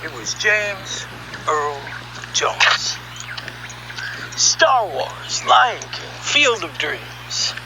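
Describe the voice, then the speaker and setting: High-pitched man telling a wry story. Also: big pitch swings, drawn-out words, pauses often, noisy background. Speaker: storyteller and voice session director. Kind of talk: conversational scripted storytelling podcast narration.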